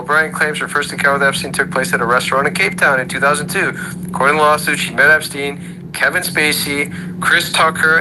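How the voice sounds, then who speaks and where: Sarcastic man ranting over a hum. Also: mid-range voice. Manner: sarcastic, rhetorical questions. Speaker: host of an audio chat room. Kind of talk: ranting monologue. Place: online audio room.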